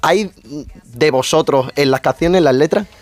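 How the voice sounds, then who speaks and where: A man's voice: a little high, measured pace. A man with a Castilian Spanish accent putting a question to interviewees in a hesitant way.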